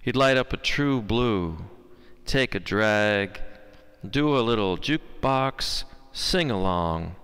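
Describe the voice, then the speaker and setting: An American man reading poetry with deliberate, dramatic phrasing and weighty pauses. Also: lowish voice, fairly bright tone, clean tone. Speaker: poet. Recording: long echoing hall reverb.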